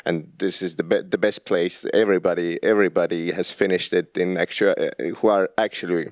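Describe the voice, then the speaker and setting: Low-voiced student, hesitant. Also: male voice, steady tone, fairly quick, no echo. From Estonia. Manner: hesitant, many restarts and fillers. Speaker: master's student guest. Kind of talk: casual interview answers.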